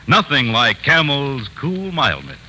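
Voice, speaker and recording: A man delivers an emphatic 1940s radio sales pitch. His lowish voice swoops widely and rings out sharp and forceful over faint broadcast hiss. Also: huge pitch swings, measured pace, low-quality recording. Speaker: commercial announcer.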